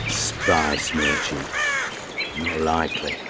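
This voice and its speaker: Suspicious, gruff town guard. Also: male voice, rumbling low, level tone, slow, over loud music. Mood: muttered suspicion. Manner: wary, offhand, sardonic. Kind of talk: video game cutscene acting.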